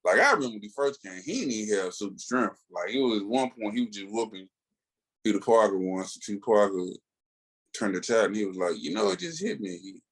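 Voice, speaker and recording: A man with an American accent and a low voice co-hosts a casual podcast. He talks in slang and tells things in an animated way, often pausing on fillers as he finds the next thought. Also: husky, rough recording. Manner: slangy, animated storytelling with many filler pauses. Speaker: comics podcast co-host. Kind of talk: casual chat.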